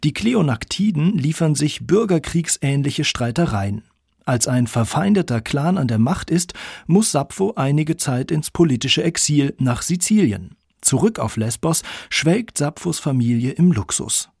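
Gravelly, husky man narrating a radio feature. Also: on the high side, animated, unhurried, a little mellow, dry recording, clean studio sound. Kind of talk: scripted narration.

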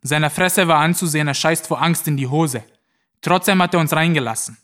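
A man's voice: on the high side, fairly quick, fairly bright tone, natural voice. An actor playing a tough, crude young gang member, telling his story in brazen slang in an audio drama. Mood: brazen, scornful. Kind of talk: narrated monologue in a German audio play.

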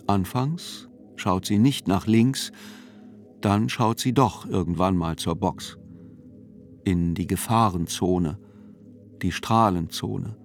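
Slow, low-voiced male narrator. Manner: deliberate, sober. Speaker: narrator of a radio play. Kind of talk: scripted literary narration. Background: faint music.

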